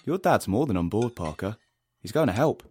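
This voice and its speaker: An actor playing a blunt, bossy rebel in an audio drama, with a brisk, plain-spoken manner. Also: male voice, on the low side, very animated, darkish tone, husky. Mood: urgent, decisive. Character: blunt, bossy outdoor rebel planning a strike.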